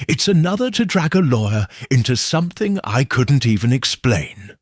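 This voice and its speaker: A man reads as an anxious young woman in a detective story, guarded and earnest. The voice rises and dips a lot, a little husky, as she hesitantly defends herself. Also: medium pitch, big pitch swings, normal speed, no echo, studio quality. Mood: nervous, defensive.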